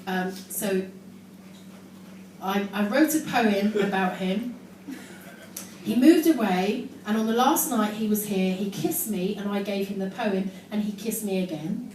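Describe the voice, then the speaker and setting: A woman gives a self-mocking, deadpan comic reading of teenage writing to a live audience. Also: mid-range voice, a little slow. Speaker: amateur performer reading her teenage diary on stage. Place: indoor live show in London, audience laughing behind. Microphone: distant phone camera in the audience. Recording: echoey, noisy live venue recorded from far off.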